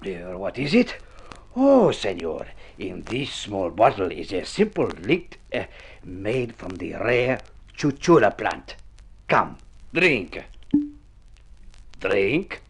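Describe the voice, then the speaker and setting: An actor playing a fussy, excitable Latin American mayor and father in an old radio drama, effusive and exclamatory, with a stage Spanish accent. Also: fairly low pitch, takes it slowly, vocal fry, pauses often, breathy edge, exaggerated voice. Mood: coaxing, solicitous. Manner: effusive, exclamatory, chuckling host.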